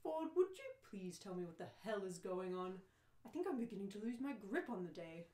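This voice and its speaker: A woman's voice: mid-range voice, very animated, fairly quick, a little mellow, voice pushed down, close to an everyday voice. A woman playing an exasperated, bewildered ordinary man in an amateur radio play, dry and questioning. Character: exasperated, bewildered ordinary Englishman defending his house. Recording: roomy, echoing live reading.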